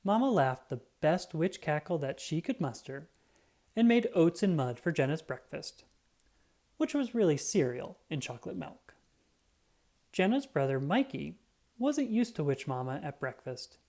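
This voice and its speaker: A woman narrating a children's book in an expressive, scripted read-aloud style, acting out the dialogue. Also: deep voice, unhurried.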